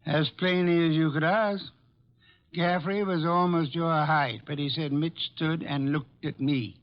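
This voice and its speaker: An actor in an old-time radio drama playing a brilliant, stubborn detective, speaking with deliberate, precise authority in a gravelly voice. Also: male voice, on the high side, steady tone, lightly acted. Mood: exacting, patient. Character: brilliant, commanding middle-aged male master detective, exacting and stubborn. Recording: dull, lo-fi old radio recording.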